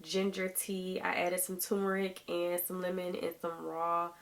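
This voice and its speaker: A woman's voice: lowish voice, completely flat delivery, unhurried. Chatty thirty-year-old woman vlogger. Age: 30s. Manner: chatty, addresses viewers as y'all. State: recovering from illness, sore throat. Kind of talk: casual chat to camera.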